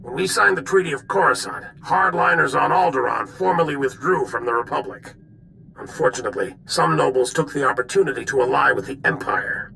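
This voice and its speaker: An actor playing a hard-bitten Republic general in a video game, stern and clipped in a military way. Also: male voice, mid-range voice, brisk, creaky, bright, forceful tone, a little hiss or hum, poor audio. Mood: grim, stern.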